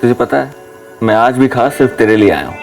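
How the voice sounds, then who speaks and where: An actor playing a lovesick truck driver in a TV crime drama, earnest, blunt and insistently pleading. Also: male voice, medium pitch, animated, a little fast, gravelly, distant mic, faint music behind, poor audio. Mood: frustrated, pleading.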